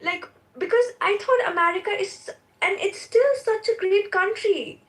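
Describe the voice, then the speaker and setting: Woman, twenties, Indian accent, high voice, pleading and earnest, talking personally in an open discussion. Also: normal speed, fairly bright tone. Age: 20s. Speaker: discussion participant, graduate student. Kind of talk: casual, personal debate talk.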